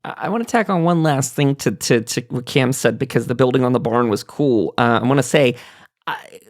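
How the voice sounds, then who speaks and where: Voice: medium pitch, a little fast. A podcast host leading a casual, enthusiastic roundtable about gaming, talking in an effusive, emphatic, rambling way. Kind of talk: casual enthusiastic panel discussion about a video game.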